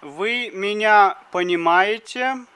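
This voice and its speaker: A man reads drill phrases for a language lesson, pronouncing each word with great care. He speaks very slowly. His voice is fairly high and smooth. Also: pushed and bright. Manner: deliberate, carefully articulated phrases. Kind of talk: slow phrasebook drill reading.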